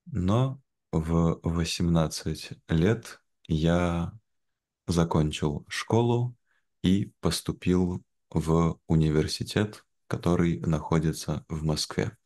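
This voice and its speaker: Young male, very deep register, unhurried and measured, simple learner-friendly conversation. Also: darkish tone. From Veliky Novgorod, Russia. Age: young adult. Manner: measured, clearly phrased. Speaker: interview guest, language teacher. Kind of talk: slow, simple conversational speech for language learners. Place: indoor room, video call. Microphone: video call, wearing headphones.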